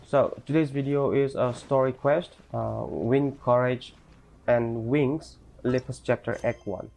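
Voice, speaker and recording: A man's voice: medium pitch, fairly even intonation, unhurried, gravelly, a little mellow. A man introducing a video as a gaming channel host, in a hesitant manner.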